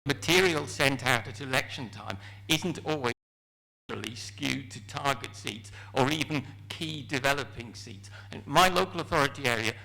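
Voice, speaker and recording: A man in the audience asking a question in a halting way. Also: medium pitch, vocal fry, sharp tone, poor audio. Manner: halting, with fillers. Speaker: audience member.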